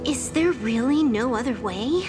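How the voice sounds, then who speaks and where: A woman's voice: fairly high pitch, animated, a little slow, loud music behind the voice. A woman playing a cool, cheeky young teammate in a video game, with a laid-back, dry delivery. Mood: quietly sad.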